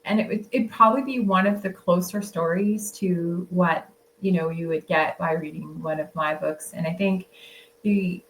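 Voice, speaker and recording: A woman's voice: on the low side, normal speed, a little hiss or hum, low-quality recording. A woman author, a guest, telling travel stories in a casual, chatty way and laughing often. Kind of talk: casual storytelling.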